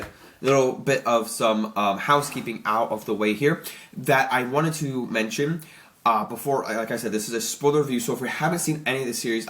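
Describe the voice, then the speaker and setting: Stuffy-nosed young man rambling excitedly. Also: mid-range voice. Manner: rambling, excitable, many restarts. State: stuffy nose from allergies. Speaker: YouTube reviewer. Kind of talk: casual spoiler review.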